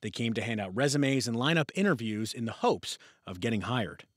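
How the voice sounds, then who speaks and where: Male news anchor, American accent, mid-range pitch, delivering scripted bulletins. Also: animated, fairly quick.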